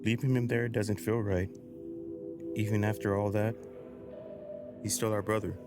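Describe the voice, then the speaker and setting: A man's voice: on the low side, flat delivery, a little slow, over music, close to an everyday voice. A man playing a conflicted, guarded young male agent in an audio drama, earnest and defensive in his delivery. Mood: mournful.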